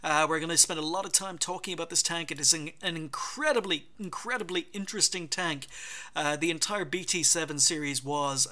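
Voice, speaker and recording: A man's voice: fairly high pitch, sharp tone. An Irish man with a slightly sore throat, giving casual, rambling commentary on his game garage, full of ums and self-corrections. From Ireland. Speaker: gaming channel host. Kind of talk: casual garage review commentary over gameplay.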